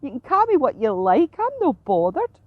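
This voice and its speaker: Brash scottish seagull for a storybook, really high and screechy, cheeky cackler, swoops all over. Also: female voice, wildly swooping pitch, moderate pace, dry recording, highs cut off, exaggerated voice. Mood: teasing, chummy. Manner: blunt, cackling, chummy. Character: brash, cheeky, mocking young female seagull.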